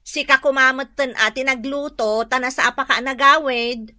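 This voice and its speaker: An actress playing an indignant, whiny, gossip-loving village wife in a comedy radio drama, complaining in animated exclamations. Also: female voice, high-pitched, normal speed, no echo, clean studio sound.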